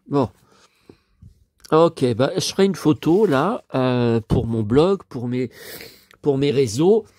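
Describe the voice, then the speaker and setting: Man vlogger with a cold, rambling and exasperated, very lively intonation, dry close sound. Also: a little high, dry recording. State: stuffy head cold. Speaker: vlogger and collector. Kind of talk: casual monologue vlog.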